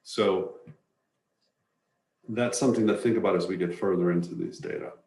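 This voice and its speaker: A deep-voiced man walks through an informational presentation in a measured manner. He sounds set back from the microphone, with clear room echo around his words. His explanations are plain and matter-of-fact. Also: a little mellow. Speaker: presenter to neighborhood residents. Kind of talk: informational slide presentation narration. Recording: roomy echo, distant from microphone.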